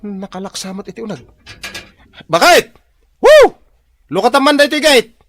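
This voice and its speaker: A man's voice: extremely high pitch, big pitch swings, normal speed, fairly bright tone, soft background music, heavily acted. A man with an Ilocano accent playing a hapless, nervous drinking husband who whines and pleads in a comedy radio drama. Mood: pleading, whining. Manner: exclaiming, whiny, exaggerated comic delivery.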